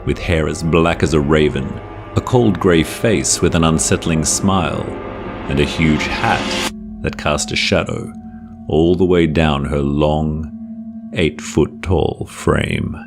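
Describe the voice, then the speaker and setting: Male narrator, very low voice, scary folktale narration, measured and dramatic, drawn-out phrases, faint music behind. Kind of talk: scripted horror folktale narration.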